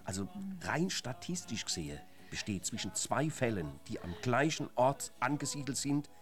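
An actor playing a male police investigator in a radio drama, halting and officious as he speculates. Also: mid-range voice, big pitch swings, soft background music. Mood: speculating.

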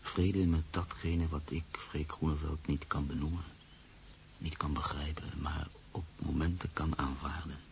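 An actor playing a grave, reflective man who narrates his own story in a radio drama, gravelly and measured. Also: rumbling low, flat delivery, heavily acted. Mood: grave, tender. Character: Freek, reflective grave man, narrator-protagonist moved by loss. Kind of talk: literary radio drama monologue. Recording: faint background noise.

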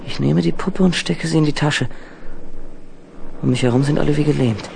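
A man acting a frightened, earnest teenage orphan boy in a 1970s German radio play, husky, urgent and dramatic. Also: a little high, moderate pace, background noise. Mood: tense narrating. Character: frightened sixteen-year-old orphan boy, shape-shifting mutant, earnest.

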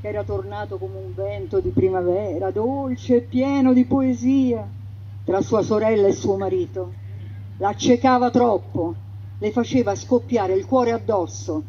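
A woman narrating a stage story in a theatrical, emphatic way, swinging between loud passages and hushed ones, heard through a radio broadcast. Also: medium pitch, a little slow, highs cut off, slight background noise.

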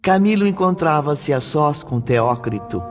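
A man's voice: on the high side, expressive, measured pace. A man narrating a scripted radio drama. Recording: distant, long hall reverb. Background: clear music.

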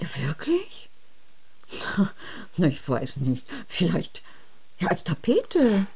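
A woman's voice: on the low side, wildly swooping pitch, slow pace, creaky, darkish tone, husky, in a whisper, heavily acted. An actress playing a worried middle-aged mother in a radio drama, coaxing and insistent, often ending on a questioning tag. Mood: puzzled, mild. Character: fussy, worried, gently prying mother.

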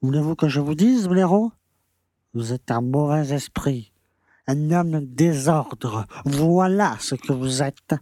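An actor playing a pompous, grumbling conservative small-town mayor who lectures and scolds in a French audio drama. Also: male voice, a little high, expressive, slow, dark tone. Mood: scolding.

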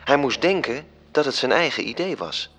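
A man's voice: mid-range voice, expressive, moderate pace, a bit bright, slightly rough. A man playing a composed, solemn storyteller in a literary radio drama, narrating. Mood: explanatory. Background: music.

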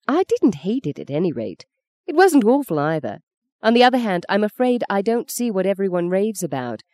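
A woman reading a story, voicing a sharp-tongued, defiant young woman who is a budding actress, in a cutting, exasperated manner. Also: mid-range voice, sing-song, brisk, no room sound, pitched above the performer's own voice, close to an everyday voice. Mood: teasing.

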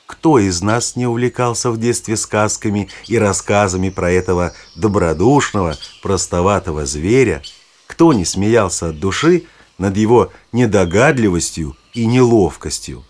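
A man playing a knowledgeable nature guide, narrating a children's audio play in a measured, expressive, explanatory way. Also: on the low side, a little hiss or hum, lightly acted. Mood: inviting storytelling. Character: knowledgeable guide showing children forest animals. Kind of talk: scripted storytelling narration for children.

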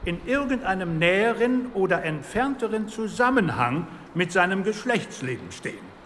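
High-voiced, pedantic professor. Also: male voice, sing-song, a bit bright. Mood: pedantic, stern. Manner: pedantic, measured lecturing. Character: stern pedantic male criminology professor. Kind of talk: radio drama lecture. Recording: reverberant lecture hall, faint noise.

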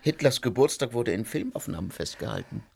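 An actor playing an aging male jurist who coolly justifies himself in measured, lecturing speech, in a radio drama. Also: medium pitch, big pitch swings, creaky, a little mellow, faint music behind.

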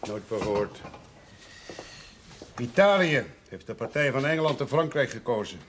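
Man playing a blunt, animated country father. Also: medium pitch, moderate pace, close to an everyday voice. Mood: grumbling. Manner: blunt, grumbling. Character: gruff, blunt, impatient country father who likes his beer. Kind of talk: television period drama acting. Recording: noisy older TV soundtrack, clinking tableware.

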